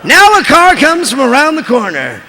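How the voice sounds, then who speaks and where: A man voicing an excitable cartoon character who reads stage directions melodramatically in a creaky, sharp voice. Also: extremely high pitch, moderate pace, full character voice. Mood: suspenseful narration. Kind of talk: dramatic script narration in cartoon voice. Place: large convention hall stage. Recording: echoey convention hall PA, faint noise.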